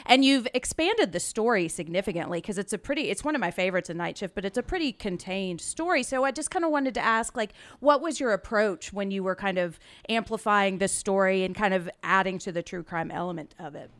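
A woman's voice: mid-range voice, expressive, a little fast, hardly any pauses, fairly bright tone. A woman hosting a podcast, asking questions in a chatty, casual way peppered with "like". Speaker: podcast host interviewing a guest. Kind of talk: casual interview chat.

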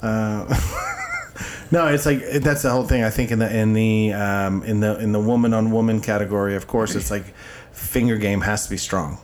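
A man's voice: lowish voice, sing-song, normal speed, slight background noise. A man hosting a casual podcast, joking around, swearing freely and laughing often. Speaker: podcast host.

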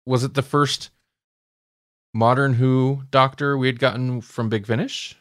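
A man's voice: mid-range voice, steady tone, a little slow, fairly bright tone, no room sound, clean studio sound. A man co-hosting a podcast, talking through stories in an informal, conversational way as if thinking aloud. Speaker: podcast co-host. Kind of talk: casual discussion and recap of audio dramas.

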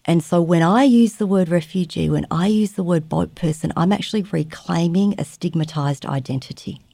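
Low, warm-voiced woman guest. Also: vocal fry, dry recording. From Vietnam, raised in Australia. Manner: measured, reflective storytelling. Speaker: guest, trauma therapist. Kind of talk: interview conversation.

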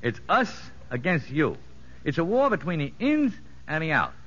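An actor playing a sly, streetwise convict boss with slangy, mock-courteous talk in a 1950s radio drama. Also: male voice, a little high, huge pitch swings, moderate pace, a bit bright, full character voice. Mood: sly, needling. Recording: dull, noisy 1950s radio recording.

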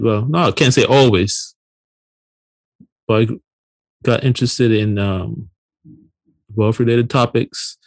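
A man in his late thirties, originally from Barbados, chats casually as a podcast co-host. His voice sits at a middle pitch, recorded very dry with next to no room sound. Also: gravelly, darkish tone, no echo, poor audio. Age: late 30s.

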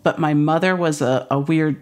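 A woman's voice: low-pitched, moderate pace, darkish tone. A woman scholar from the United States talking conversationally in an interview. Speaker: Shakespeare scholar, university teacher.

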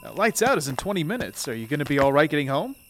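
A man's voice: on the high side, sing-song, very fast, background noise. An actor playing a kindly, worried small-town man who speaks hesitantly, in naturalistic audio drama style. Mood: friendly, concerned.

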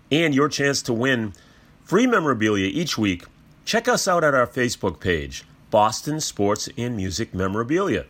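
A man's voice: medium pitch, a bit bright. A man delivering a scripted promotional read as the sponsor of a show.

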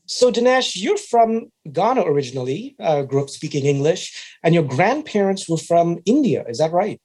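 A man hosting a podcast, asking his guest measured, curious questions. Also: a little high, big pitch swings, a little mellow. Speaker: podcast host. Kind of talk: interviewer questions.

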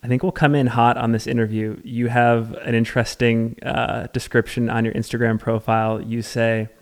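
A man's voice: mid-range voice, little pitch movement, normal speed, creaky. A man hosting a podcast interview in a casual, informal, conversational way. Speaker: podcast host.

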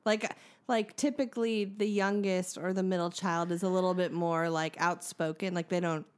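A woman co-hosting a podcast, asking her guest questions in a chatty, unhurried way. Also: on the low side, steady tone, clean tone. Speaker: podcast co-host. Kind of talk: casual podcast chat.

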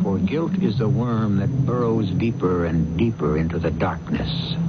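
A man hosting a radio mystery, narrating in a deliberate, theatrical and philosophical manner. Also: fairly low pitch. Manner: deliberate, philosophical, rhetorical questioning. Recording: muffled old radio, loud music. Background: loud music.

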